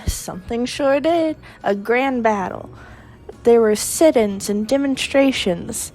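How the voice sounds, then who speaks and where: A woman's voice: fairly high pitch, measured pace, a little mellow, natural voice. A woman voicing a brash, boastful, teasing teenage girl in a visual novel reading, speaking emphatically. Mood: proud, excited.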